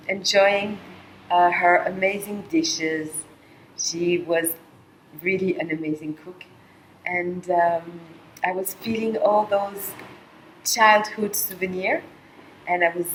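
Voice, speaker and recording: A middle-aged woman from Colombia speaks in a lower register, soft and halting, with many small pauses and fillers. She talks in a reflective, personal monologue, and a faint hum of ambient noise sits under her voice. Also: rough recording. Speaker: vlogger sharing a personal experience.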